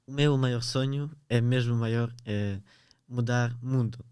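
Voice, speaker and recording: Teen guest, Ukrainian accent; smooth, resonant voice, dry close sound, halting delivery with many fillers. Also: male voice, mid-range voice, fairly even intonation, a little mellow, no room sound. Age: teens. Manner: hesitant, searching for words, frequent fillers. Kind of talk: podcast interview.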